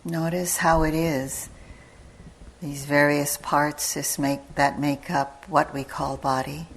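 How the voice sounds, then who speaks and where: Very slow, low-voiced woman guiding meditation. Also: slight background noise, rough recording. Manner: calm, measured, with long pauses between short phrases. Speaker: meditation teacher leading a guided session. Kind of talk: guided meditation instruction. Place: meditation retreat hall.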